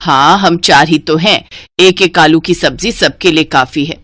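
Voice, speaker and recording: Stingy mother-in-law, acted by a female narrator, lower female pitch, commanding, emphatic, cartoon story, dry close sound. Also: unhurried, fairly bright tone, dry recording. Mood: bossy, stingy. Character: stingy bossy mother-in-law of a rich family.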